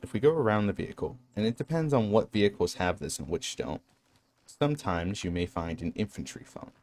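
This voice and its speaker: Low-pitched man giving a game tutorial. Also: very animated, a little fast, darkish tone, a little hiss or hum. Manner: matter-of-fact, explanatory. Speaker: tutorial narrator. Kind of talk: instructional game walkthrough narration.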